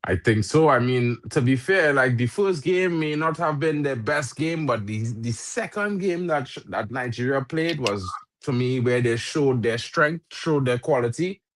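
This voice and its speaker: A man's voice: medium pitch, lively intonation, measured pace, few pauses. A man who is not a native English speaker, giving casual live football commentary and chat in slangy talk peppered with "bro". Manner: slangy, says "bro" often. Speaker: football watchalong co-host.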